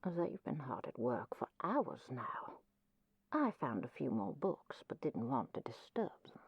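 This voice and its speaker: A woman playing a kindly older female librarian in an audio roleplay, with a husky, creaky voice and gently formal, folksy manner. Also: very deep voice, very animated, a little slow, no room sound, muffled recording, exaggerated voice. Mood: kindly, soft. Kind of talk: scripted audio roleplay acting.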